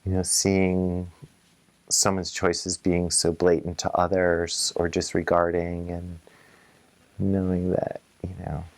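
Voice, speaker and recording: A woman reflecting in a personal interview in a measured, thoughtful way, choosing words carefully. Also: a bass voice, level tone, darkish tone. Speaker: local resident interviewee.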